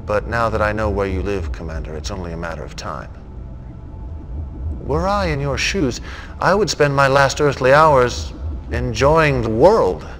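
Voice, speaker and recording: An actor playing a menacing, self-assured villain in a video game cutscene, taunting in a deliberate, theatrical manner. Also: male voice, medium pitch, expressive, a bit bright, faint music behind. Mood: smug menace.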